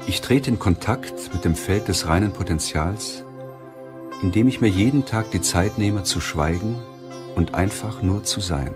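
A man reading a self-help audiobook as narrator, in measured, deliberate phrasing. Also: low-pitched, over music.

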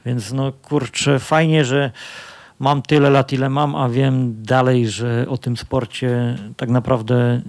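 A man's voice: mid-range voice, little pitch movement, slow pace, a little mellow. A man in his thirties, a fighter answering press questions into a handheld mic, talking casually with lots of fillers and restarts. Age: 30s. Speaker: MMA fighter. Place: press conference room with sponsor backdrop. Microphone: handheld microphone.

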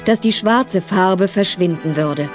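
A woman's voice: mid-range voice, slow, faint music behind, close to an everyday voice. A woman narrator reading scripted narration for a children's radio play.

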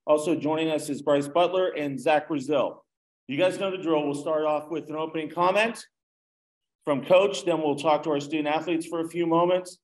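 Older man acting as press conference moderator. His voice sits fairly high. He is brisk and procedural, speaking from a podium mic in an echoey press room. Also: darkish tone. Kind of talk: formal announcing. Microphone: podium microphone.

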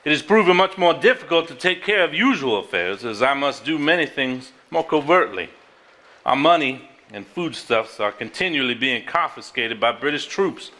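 A man's voice: mid-range voice, bright, forceful tone. A man playing an earnest, plain-spoken Southern family man in a stage drama, with a Southern US accent. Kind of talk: live stage acting. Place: theater stage before audience.

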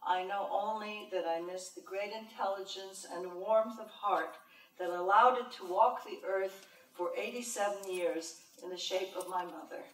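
A woman's voice: mid-range voice, steady tone, moderate pace, fairly bright tone. An older woman from Montreal, a professor and translator, answering audience questions in a thoughtful way with many fillers. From Montreal, Canada. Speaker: professor and translator giving a talk. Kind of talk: lecture and audience Q&A. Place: large lecture room with an audience. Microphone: podium microphone. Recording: roomy hall sound, mic heard from a distance.

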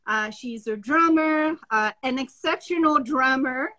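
A woman, hosting a live video-call interview, enthusiastic and halting with many fillers. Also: high voice, sharp tone. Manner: enthusiastic, hesitant, many uhs. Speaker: host. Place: home office indoors. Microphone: laptop on a video call.

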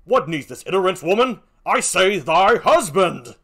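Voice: mid-range voice, big pitch swings, a little slow, fairly bright tone, slightly rough, voice pushed up, heavily acted. A performer voicing a jealous, commanding and anguished husband in a declamatory, theatrical Shakespearean stage reading. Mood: exasperated, thundering. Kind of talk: classical Shakespearean stage acting.

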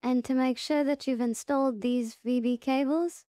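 A woman presenting a casual, step-by-step software tutorial in a plain-spoken, instructional way. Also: sits high, fairly even intonation, moderate pace, no room sound, studio quality.

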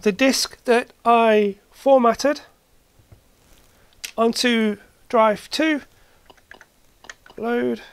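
A hobbyist host giving a casual hands-on demonstration, halting and matter-of-fact, thinking aloud while working. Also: mid-range voice, steady tone, smooth, no room sound. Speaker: host, retro computer hobbyist. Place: indoor cluttered workshop.

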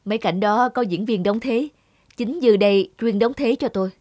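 A woman's voice: a little high, normal speed, darkish tone, no echo, clean studio sound, lightly acted. A woman playing a worried, loyal male film actor in a cartoon, speaking with serious concern. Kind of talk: animated cartoon dialogue.

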